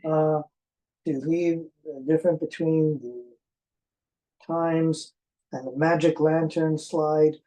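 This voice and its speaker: An excitable person presenting film finds in a casual unboxing chat, with joking asides and exclamations. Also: mid-range voice, slow, long pauses, low-quality recording.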